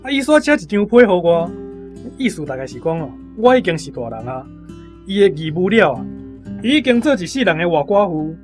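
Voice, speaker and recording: A man's voice: high voice, big pitch swings, brisk, darkish tone, soft background music. A man with a Taiwanese accent playing a guileless, talkative young army conscript in a radio drama. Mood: matter-of-fact.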